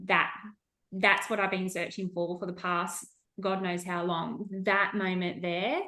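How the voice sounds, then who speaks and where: A woman's voice: fairly low pitch, level tone, measured pace, pushed and bright. A young adult woman hosting a podcast in casual chat, easygoing and loose, laughing often. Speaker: podcast host.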